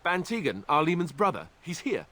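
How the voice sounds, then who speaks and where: An actor playing a sardonic, self-deprecating young warrior and reluctant prince in a video game. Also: male voice, fairly high pitch, sing-song, fairly quick, bright, forceful tone, exaggerated voice. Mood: wry, earnest.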